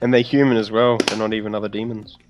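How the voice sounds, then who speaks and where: A man's voice: on the low side, level tone, fast talker. A man playing a tabletop role-playing game, bantering casually with the other players. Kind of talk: casual bantering gaming chat. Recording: music behind. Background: clear music.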